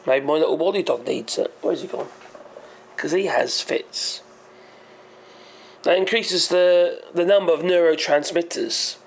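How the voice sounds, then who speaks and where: Brief: hoarse male channel host, gravelly and slightly high, rambling and chatty. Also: a little slow, pauses often. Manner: rambling, hesitant, self-correcting. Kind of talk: casual explainer monologue.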